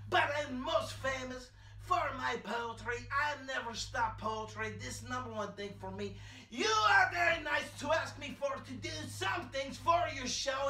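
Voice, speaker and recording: Performer doing a gravelly, pompous immigrant poet. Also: sits high, pushed and bright, exaggerated voice. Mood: boastful. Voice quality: broken English, blunt pronouncements, chanting repetition. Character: boastful male immigrant poet named Sergio, anxious about deportation. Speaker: comedy character reciting poems. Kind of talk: prerecorded comedy bit. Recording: echoey, distant, music underneath.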